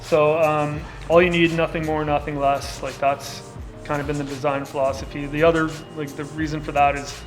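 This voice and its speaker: Middle-aged man from Canada, casual, slightly high voice, documentary interview with music underneath. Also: moderate pace, poor audio. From Saskatchewan, Canada. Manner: relaxed and casual, with many filler likes. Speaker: co-founder and designer.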